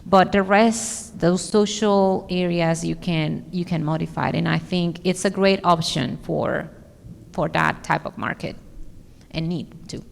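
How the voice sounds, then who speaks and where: A woman's voice: fairly low pitch, a little slow, gravelly. A woman from the Dominican Republic with a Dominican accent, a conference speaker earnestly answering audience questions and searching for her words. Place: large conference hall. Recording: echoey hall reverb.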